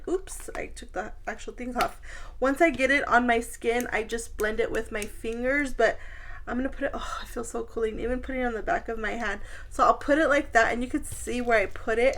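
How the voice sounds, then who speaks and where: A woman with a fairly high voice talks as a beauty vlogger. She is chatty and informal, speaking straight to her viewers in a casual product review. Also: fairly bright tone.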